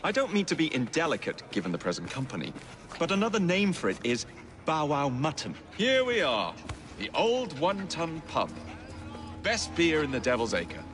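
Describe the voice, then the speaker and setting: A man's voice: a little high, huge pitch swings, moderate pace, noisy background. A man playing a cocky but courteous young Victorian London rogue in a video game, wry and gallant, with an English accent. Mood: wry, polite. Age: young adult. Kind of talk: video game cutscene acting. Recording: music over the dialogue.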